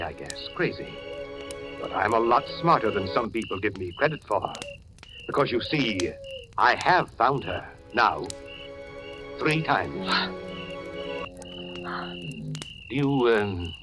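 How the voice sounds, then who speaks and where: An actor playing a deranged, menacing hotel keeper who rambles in a folksy, deceptively solicitous way, in an old-time radio horror drama. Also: male voice, fairly low pitch. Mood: brooding, obsessive. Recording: vintage radio drama, music underneath.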